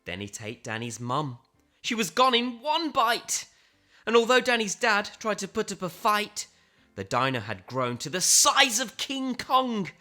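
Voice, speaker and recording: Animated, bright-voiced woman reading a storybook. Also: mid-range voice, soft background music. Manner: theatrical, dramatic emphasis and suspenseful pauses. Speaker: storybook reader. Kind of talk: animated children's picture book read-aloud.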